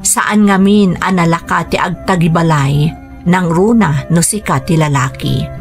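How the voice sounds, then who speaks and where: Slow-burning weary Ilocano wife. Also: female voice, fairly low pitch, soft background music. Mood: reflective, sad. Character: weary, hurt working wife and mother, Barbara. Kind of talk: radio drama acting with narration.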